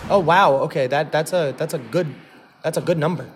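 A man speaks as a casual interviewer. He phrases questions haltingly, often doubling back on his words. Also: on the high side, slight background noise. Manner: halting, repeats words while forming questions. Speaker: interviewer, host.

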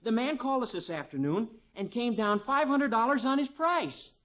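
An actor playing an earnest, friendly young American husband in a 1950s radio comedy. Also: male voice, very high-pitched, expressive, moderate pace, a bit bright. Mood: earnest, puzzled. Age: young adult. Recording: muffled old radio broadcast.

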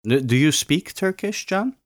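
A man's voice: a little high, lively intonation, measured pace, dry recording, clean studio sound. A man hosting a casual interview, asking short questions. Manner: brief questions and back-channel responses. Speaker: host.

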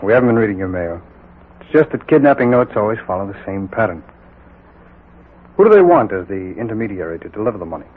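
An actor playing a brisk, reassuring FBI agent in a 1940s radio drama, questioning in a businesslike way. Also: male voice, fairly low pitch, very animated. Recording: narrow-band 1940s radio recording.

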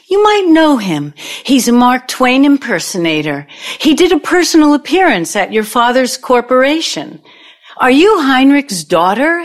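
A woman playing a brash, nostalgic, scheming older former girlfriend in an audio play, with a knowing, theatrical manner. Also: high-pitched. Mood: gushing, probing.

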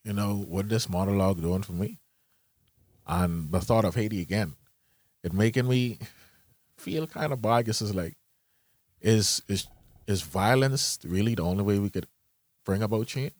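A man with a low voice muses out loud in a casual podcast chat, stumbling and trailing off as he weighs a thought. His voice sounds close and dry. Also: moderate pace, pauses often, no echo. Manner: hesitant, reflective, trailing off.

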